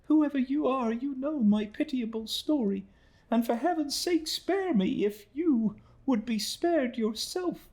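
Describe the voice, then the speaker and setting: A man's voice: a little slow, a little mellow. A man doing a sobbing, pleading young woman. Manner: high, sobbing, imploring. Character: desperate weeping young woman pleading for mercy.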